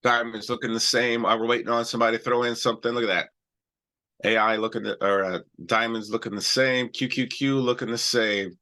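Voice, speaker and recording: A man's voice: medium pitch, steady tone, fairly quick, sharp tone. A man hosting a live stock trading show, talking loosely and chattily through charts while he has a cold. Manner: loose and chatty, many restarts and "all right"s. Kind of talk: casual live-stream chart talk.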